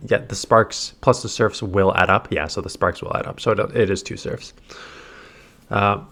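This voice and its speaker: Congested man, slightly low voice, nasal, loose filler-heavy gaming commentary. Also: fairly bright tone. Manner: chatty, filler-heavy, thinking aloud. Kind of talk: casual play-by-play commentary over game footage.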